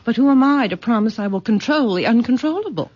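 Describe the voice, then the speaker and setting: A genteel middle-aged mother in a vintage radio drama. She is coolly controlling and formal, her intonation lively and theatrical, on a dull old recording. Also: a little high. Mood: patronizing, composed. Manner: formal, measured, condescending endearments.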